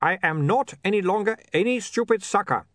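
Narrator voicing a prim, eager vampire in an audiobook; raised, bright, very dry read. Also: male voice, sits high, animated, a little fast, dry recording, voice pushed up. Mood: proud. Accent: Uberwald. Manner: formal stiff phrasing, earnest. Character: eager prim male vampire photographer, young.

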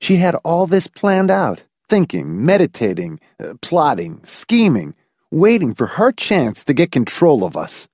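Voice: medium pitch, huge pitch swings, measured pace, a little mellow, breathy edge, dry recording, clean studio sound, voice pushed up, full character voice. A narrator voicing an anxious, pleading wife in an audiobook, with shaky, insistent questioning. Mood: fearful.

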